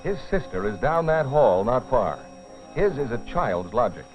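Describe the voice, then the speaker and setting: Animated male dramatic film narrator, dull old soundtrack. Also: medium pitch, moderate pace, faint music behind. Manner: grave, urgent, theatrical storytelling. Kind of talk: dramatic scripted narration of a safety training film.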